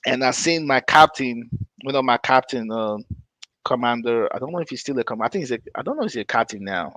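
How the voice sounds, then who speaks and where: A man's voice: mid-range voice, fairly bright tone, dry recording. A middle-aged man from Nigeria, hosting a podcast and telling his own story in a loose, rambling way, often correcting himself mid-sentence. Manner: rambling, self-correcting, laughs between points. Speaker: podcast host. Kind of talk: casual storytelling chat.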